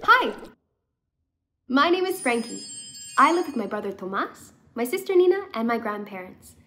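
A woman's voice: a little high, very animated, moderate pace, bright, forceful tone, slight background noise, heavily acted. A young woman reading the part of a chatty, confiding small child in a children's storybook.

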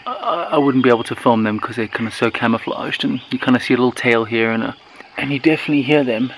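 A man in his thirties, vlogging with excitement while talking to a handheld camera in the jungle and inside a huge cave. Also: mid-range voice, fast talker, a little hiss or hum, rough recording. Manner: enthusiastic, excited, talks straight to handheld camera. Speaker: travel vlogger. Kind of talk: casual travel vlog. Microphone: handheld camera at arm's length.

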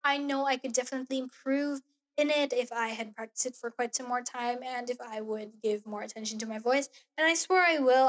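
A young woman vlogging in a small bedroom into a close studio mic, talking earnestly about a show with a hoarse voice. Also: high voice. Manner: gushing, earnest, gestures while talking. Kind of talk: casual review vlog. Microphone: studio mic on boom arm, close.

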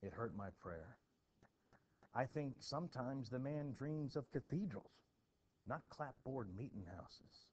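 A man voicing a blunt, guarded farmer from colonial times in a plainspoken, halting play reading. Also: mid-range voice, expressive, darkish tone, natural voice. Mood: resentful, defiant. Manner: plainspoken, halting, archaic rural phrasing. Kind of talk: expressive classroom play reading. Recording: dull, noisy home recording.

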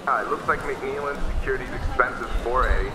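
A man's voice: mid-range voice, brisk, heavy background noise, lightly acted. A man playing a chatty futuristic newscaster reading scripted news in an audio drama. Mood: chatty, brisk. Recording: echoey, music behind.

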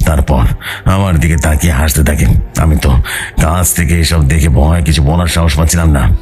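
A man's voice: a bass voice, fairly even intonation, moderate pace, darkish tone, soft background music. A man narrating a horror story in the first person, in a dramatic storytelling style, as the narrator of an audio story.

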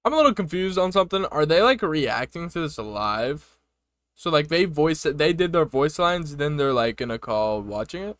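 A man's voice: a little high, animated, normal speed, a bit bright, no echo. A man in his twenties giving casual live reaction commentary into a close desk microphone at home. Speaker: reaction video host. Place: small room at home, indoors.

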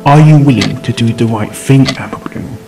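A man playing a calm, measured pony doctor. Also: medium pitch, natural voice. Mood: calm, probing. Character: calm patient male pony doctor, adult. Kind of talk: fan audio drama reading. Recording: echoey reading over loud music.

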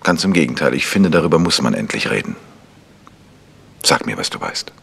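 An actor playing a calm, polite gentleman investigator in a German radio crime drama, asking insistent questions. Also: a bass voice, moderate pace, gravelly, husky, exaggerated voice. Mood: earnest, insistent.

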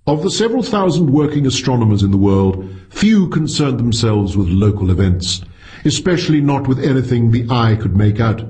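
Male audiobook narrator, medium-pitched voice, highly varied intonation, scripted sci-fi storytelling. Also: big pitch swings, normal speed, mellow. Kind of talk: scripted narration of a science fiction short story.

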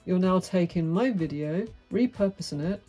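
A woman's voice: expressive, normal speed, warm tone, highs cut off, soft background music. A middle-aged woman, talking frankly and wryly to camera in a small dim room. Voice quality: deep voice. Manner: outspoken, frank, wry. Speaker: vlogger. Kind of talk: candid monologue to camera. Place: small dim indoor room with brick wall.

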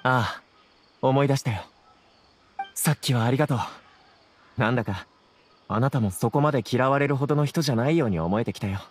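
A man's voice: mid-range voice, a little fast, pauses often, close to an everyday voice. A man playing an earnest, troubled young scholar with polite speech in a Japanese game. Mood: earnest, apologetic. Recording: clear background noise, insect ambience.

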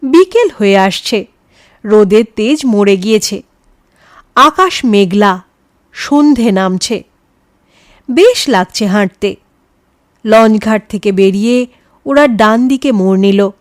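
A woman narrating a scripted story in a dramatic, expressive style, acting out the dialogue. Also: mid-range voice, takes it slowly, pauses often, dry recording, studio quality.

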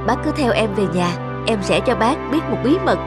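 An actor playing a kind, poor young farmer in a cartoon folk tale, eager and friendly. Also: male voice, sits high, expressive, fairly quick, over loud music. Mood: generous, cheerful.

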